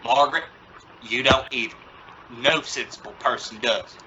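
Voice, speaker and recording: A man's voice: medium pitch, moderate pace, close to an everyday voice. A man playing an exasperated, sarcastic middle-aged father in an old-time radio sitcom, flustered and bluffing confidence. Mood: insistent, irritated. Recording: dull, noisy lo-fi radio play recording.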